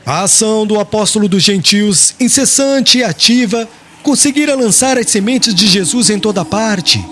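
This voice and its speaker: A man's voice: high voice, expressive, moderate pace, a bit bright, slight background noise. A man reading a religious audiobook as narrator, in a solemn, literary and carefully phrased manner. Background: music.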